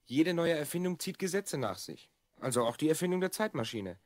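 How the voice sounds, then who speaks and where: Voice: mid-range voice, big pitch swings, a little fast, no room sound, studio quality, close to an everyday voice. An actor voicing an earnest, slightly bossy twelve-year-old boy time traveller in a German children's audio drama. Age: child figure, twelve.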